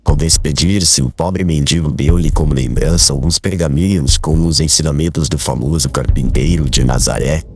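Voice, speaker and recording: Man acting very deep, solemn older man. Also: breathy edge, no echo, exaggerated voice. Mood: solemn, tender. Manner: solemn, measured, formal literary phrasing. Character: Zacarias, kindly grave older man, gentle host. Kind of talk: dramatized audiobook acting.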